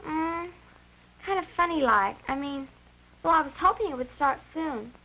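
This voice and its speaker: A woman's voice: sits high, animated, moderate pace, pushed and bright, lo-fi sound, full character voice. A woman playing an eager, innocent American girl of about twelve in a 1950s educational film, speaking in an earnest, exclamatory way. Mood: shyly excited.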